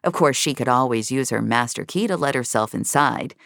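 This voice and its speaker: Very low-voiced woman narrating fiction. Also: animated, a little fast, gravelly, a bit bright, dry recording, studio quality.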